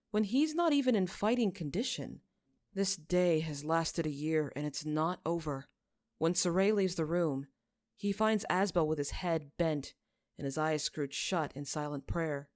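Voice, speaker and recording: A woman's voice: on the low side, a little mellow, no room sound, clean studio sound. A woman reading scripted story narration, in the manner of an audiobook. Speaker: fan fiction narrator.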